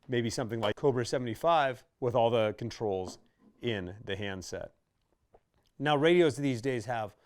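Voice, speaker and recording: A man's voice: lowish voice, a little mellow. A man hosting an informal how-to video, explaining products in a conversational, instructional way. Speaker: host of a radio gear how-to video.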